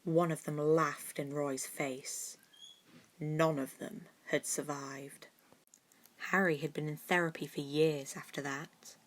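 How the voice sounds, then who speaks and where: A woman's voice: sits low, fairly even intonation, takes it slowly, slight background noise. A woman reading scripted fiction as a story narrator.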